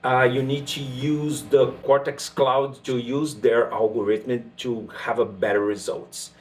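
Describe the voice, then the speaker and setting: A man's voice: medium pitch, a little slow. Chatty Brazilian man demoing guitar gear. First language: Portuguese. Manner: relaxed and chatty, with frequent fillers and restarts. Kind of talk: casual gear demo talk.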